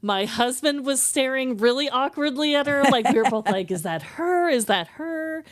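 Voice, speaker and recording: A woman's voice: high-pitched, expressive, normal speed, dry recording, clean studio sound. An American woman from the Midwest telling personal stories casually as a podcast host, chatty and effusive. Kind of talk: casual chat, personal storytelling.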